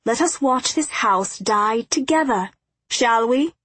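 Woman acting out a pompous, gleefully cruel villain, high-pitched. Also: animated, takes it slowly, fairly bright tone, slightly rough, dry recording, heavily acted. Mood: gloating menace. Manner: theatrical, mocking, ornate formal speech. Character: gleeful, cruel, pompous male underworld lord, taunting.

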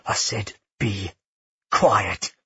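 A man voicing a cold, brooding young male prince who speaks dryly, with a husky voice. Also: fairly low pitch, drawn-out words, sharp tone, no room sound, muffled recording, pitched below the performer's own voice, exaggerated voice. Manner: dry, curt, menacing.

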